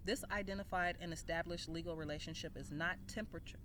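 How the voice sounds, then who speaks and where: A woman reads a written text aloud in a deliberate, emphatic way, with casual asides. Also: fairly low pitch, steady tone, background noise. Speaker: video creator reading an essay aloud.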